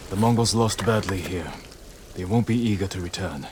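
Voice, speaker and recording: An actor playing a stoic, honorable samurai in a dramatic video game, speaking in a measured, terse, firm manner. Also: male voice, low voice, noisy background, natural voice. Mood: calm. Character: stoic, honorable male samurai warrior, calmly resolute.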